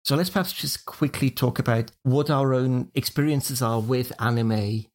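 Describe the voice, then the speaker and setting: A man from Switzerland hosting a film podcast, leading the talk in a measured, organized way. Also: medium pitch. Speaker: podcast host. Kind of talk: casual podcast chat.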